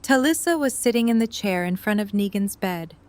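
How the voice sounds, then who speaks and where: Mid-pitched woman narrating a story. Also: expressive, fairly quick, a little mellow, slight background noise.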